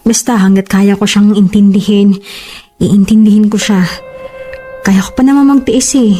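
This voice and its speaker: A woman's voice: medium pitch, fairly even intonation, normal speed, warm tone, soft background music, natural voice. A woman playing a devoted, hurt bride-to-be in a relationship drama, speaking emotively with a Filipino accent. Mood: defensive, devoted. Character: long-suffering, devoted bride-to-be, hurt and defensive.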